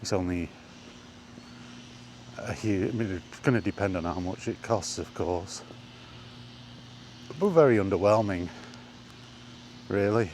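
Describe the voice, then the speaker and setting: A man with a low voice talks at a slow, unhurried pace in a casual, rambling monologue. He is plain-spoken, like a gaming vlogger out on a walk, with light outdoor noise behind him. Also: lively intonation, darkish tone.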